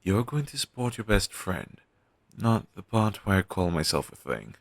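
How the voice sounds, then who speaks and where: Low, gravelly, morbid undead best friend acted by a man. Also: very animated, no echo, exaggerated voice. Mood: wary, self-loathing. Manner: halting, sighing, sardonic delivery with trailing hesitations. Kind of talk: intimate scripted audio roleplay monologue.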